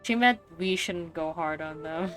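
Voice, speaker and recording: A woman's voice: lowish voice, measured pace, a bit bright. A woman giving casual commentary as she plays a video game, often thinking aloud absent-mindedly. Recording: faint game music behind. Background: video game music.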